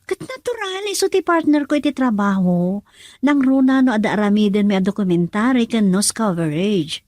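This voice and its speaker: A woman's voice: medium pitch, animated, normal speed, a little mellow, no echo, clean studio sound, close to an everyday voice. A woman playing a young, defiant daughter in love, with emotional, pleading radio drama delivery and an Ilocano accent. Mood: indignant pleading.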